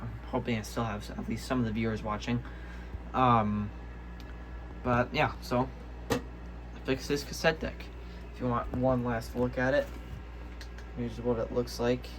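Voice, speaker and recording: Male hobbyist vlogger, mid-pitched, halting and self-correcting, informal demo style, clear background noise. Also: little pitch movement. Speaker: hobbyist video maker. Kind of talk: casual show-and-tell vlog. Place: indoors, room with audio gear.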